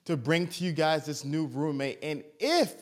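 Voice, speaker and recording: A young man hosts a podcast with a voice that sits slightly high. He talks with eager energy, gushing over his guest, and trips over his words in quick restarts. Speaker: podcast host. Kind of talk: enthusiastic podcast intro.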